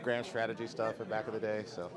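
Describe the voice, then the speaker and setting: A man's voice: fairly low pitch, fairly even intonation, fairly quick. A male academic chatting in a friendly way, with many fillers and repeated words. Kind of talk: casual chat. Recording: crowded room chatter behind.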